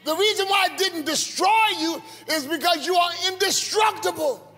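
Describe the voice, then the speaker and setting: A man preaching a sermon in a rhythmic call-and-response style, building to shouts with a congregation. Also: extremely high pitch, normal speed. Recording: long hall reverb, faint music.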